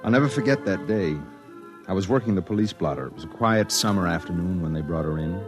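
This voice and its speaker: Deep-voiced, lonely, brooding reporter. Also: male voice, animated. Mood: intense, haunted. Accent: American. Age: 30s. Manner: brooding, confessional, measured. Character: lonely, sensitive thirty-one-year-old newspaper reporter and secret poet. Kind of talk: 1950s radio drama narration. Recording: vintage radio broadcast with music.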